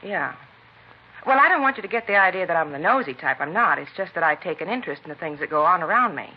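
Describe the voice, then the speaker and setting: An actress playing a nosy, pushy middle-aged neighbor in a 1950s radio crime drama, talking in a brassy busybody way. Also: female voice, on the low side, lively intonation, quick pace, slightly rough. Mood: insistent. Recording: lo-fi vintage radio broadcast with faint noise.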